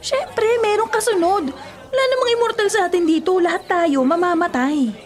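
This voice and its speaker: A woman's voice: very high-pitched, normal speed. A woman playing a skeptical, defiant younger sister in a radio drama, exasperated and talking back. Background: music.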